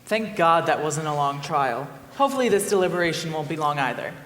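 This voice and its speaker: A man's voice: a little high, lively intonation, brisk, fairly bright tone, a little hiss or hum. A man playing an impatient, brusque jury leader with a sarcastic manner in a stage play. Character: impatient, dismissive jury leader eager to leave. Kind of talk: live stage play acting. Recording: echoey hall stage recording.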